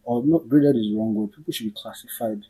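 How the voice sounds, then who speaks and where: A man's voice: mid-range voice, fast talker, breathy edge, low-quality recording. A man from Nigeria hosting a casual political chat, thoughtful and often correcting himself as he goes. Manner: thoughtful, self-correcting, trails off quietly. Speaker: podcast host.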